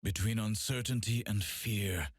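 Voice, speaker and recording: An actor playing a solemn, brooding masked swordsman who hunts demons, speaking gravely in measured game voice lines. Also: lowish voice, no echo, clean studio sound. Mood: grave, resolute. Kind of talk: scripted video game character voice lines.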